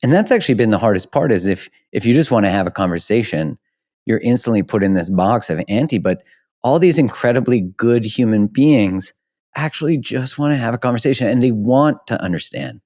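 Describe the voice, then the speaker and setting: A man's voice: medium pitch, expressive, fairly quick, darkish tone. A man hosting a podcast interview, speaking in an earnest, reflective way and searching for words. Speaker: podcast host. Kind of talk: interview conversation.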